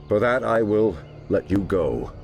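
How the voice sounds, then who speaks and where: A stern, commanding warrior leader, low and gravelly. His grave, formal delivery swings widely in pitch, as distrustful fantasy game dialogue. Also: animated, measured pace, darkish tone, soft background music. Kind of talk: video game cutscene voice acting.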